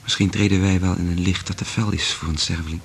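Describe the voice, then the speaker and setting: An actor playing an earnest, resolute scientist in love in a radio play, speaking in a measured, intimate way with a husky voice. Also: male voice, low-pitched, steady tone, slight background noise. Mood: earnest, pleading. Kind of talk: Dutch radio play acting.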